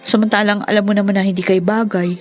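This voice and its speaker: An actress playing a young, haughty rich daughter in a radio drama, sharp and condescending. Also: female voice, mid-range voice, little pitch movement, fast talker, soft background music, close to an everyday voice. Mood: spiteful, cold. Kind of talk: Tagalog radio drama acting.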